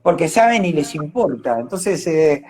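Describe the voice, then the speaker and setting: A man with an Argentine Rioplatense accent, speaking conversationally as an actor being interviewed, animated in his telling. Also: fairly high pitch, a little slow, breathy edge.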